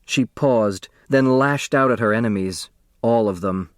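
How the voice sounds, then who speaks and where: A man reading a story as an audiobook narrator, in expressive scripted narration. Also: medium pitch, a little slow, dry recording, studio quality.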